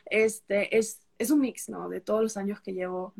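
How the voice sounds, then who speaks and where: A woman's voice: medium pitch, steady tone, moderate pace, gravelly, a little mellow, slightly rough. A young woman from Peru with a mixed Peruvian and Costa Rican accent, a travel creator chatting as a guest on a live stream, full of fillers and restarts. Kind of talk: casual live-stream chat. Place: traveling on a road trip, remote connection.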